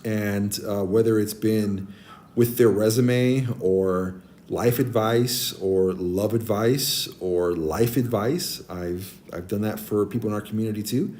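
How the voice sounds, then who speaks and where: Deep-voiced man in his forties. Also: slow, darkish tone. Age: 40s. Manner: friendly, advice-giving, many ums and restarts. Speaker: streamer. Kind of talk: casual chat with stream viewers. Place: abroad, streaming on a tablet. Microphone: tablet mic.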